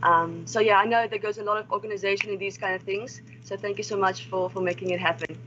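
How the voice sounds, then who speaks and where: A woman's voice: medium pitch, steady tone, fast talker, fairly bright tone. A young woman from South Africa, a wildlife research assistant, giving a friendly, informal online seminar talk. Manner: friendly, informal, with some restarts. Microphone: video call. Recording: slightly dull online call audio with faint noise.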